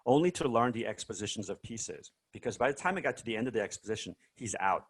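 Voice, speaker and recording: A man's voice: mid-range voice, animated, fast. A man from South Korea being interviewed over a video call, telling stories casually with many fillers and restarts. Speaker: guest musician.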